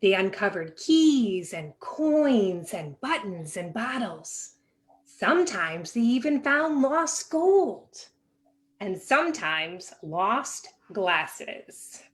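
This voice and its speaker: A woman reading a children's picture book aloud in an expressive, animated way with dramatic pauses. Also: mid-range voice. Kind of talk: expressive children's storybook narration.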